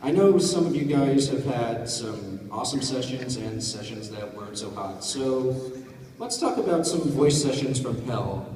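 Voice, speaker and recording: A man's voice: mid-range voice, expressive, moderate pace. A man casually moderating a question and answer panel. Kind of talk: casual hosting of a Q&A. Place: large convention panel room. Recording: echoing hall, distant, crowd and noise.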